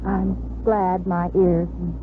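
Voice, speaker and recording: A woman's voice: fairly low pitch, flat delivery, slow. An American woman playing a troubled young bride-to-be in a hesitant, earnest 1950s radio drama style. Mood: tense, confessing. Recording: muffled vintage radio recording, faint hiss.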